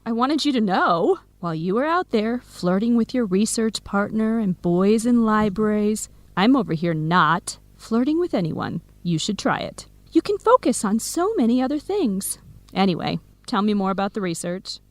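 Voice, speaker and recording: An actress playing a teasing, protective young American woman in a radio drama, wry and clearly enunciated. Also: mid-range voice, expressive, normal speed, no echo, lightly acted. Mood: wry, mock-mournful. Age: young adult. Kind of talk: scripted radio drama dialogue.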